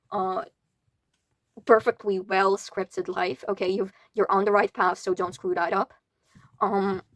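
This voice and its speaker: A woman's voice: medium pitch, dry recording. A young adult woman from Latvia giving a casual tarot reading, talking chattily with frequent restarts and the odd swear word. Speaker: tarot reader.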